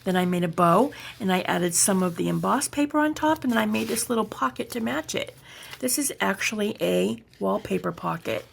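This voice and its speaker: A woman with a slightly raspy morning voice, chatting casually and haltingly as she narrates a craft show-and-tell. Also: medium pitch, lively intonation, a little hiss or hum. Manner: unhurried, chatty, halting as she shows items. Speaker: craft vlogger.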